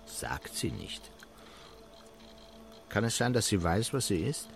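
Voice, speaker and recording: Lively, low-voiced sceptical man. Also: takes it slowly, creaky, loud music behind the voice, full character voice. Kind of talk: radio drama dialogue.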